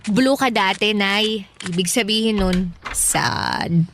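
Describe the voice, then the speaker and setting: A woman's voice: medium pitch, slow pace, crowd noise. An actress playing a giggly, playful young woman about to be engaged, in a radio drama.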